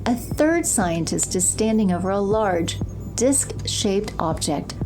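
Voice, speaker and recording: A woman narrates a scripted superhero audio drama. Her pitch sits in the middle for a woman, and music runs clearly underneath her storytelling. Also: lively intonation, slow. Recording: music bed behind the voice.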